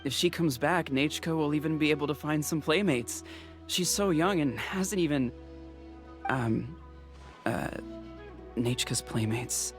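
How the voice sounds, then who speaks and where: A man's voice: fairly high pitch, unhurried, long pauses, lightly acted. Man playing a fretful delivery man. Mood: confused. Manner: rambling, hesitant, trailing off. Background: game music.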